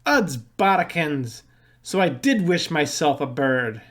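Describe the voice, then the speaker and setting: A woman's voice: low-pitched, sing-song, slow pace, pitched below the performer's own voice, exaggerated voice. A woman voicing a pompous, hearty male knight in a storybook, declaiming in archaic style. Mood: excited.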